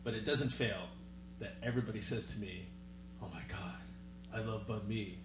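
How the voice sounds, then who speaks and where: A man from Vietnam in casual chat, telling stories in an animated way, his voice leaping up when he is exasperated. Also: normal speed, music in the background. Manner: animated storyteller, voice jumps high when exasperated. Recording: roomy with clear background hum.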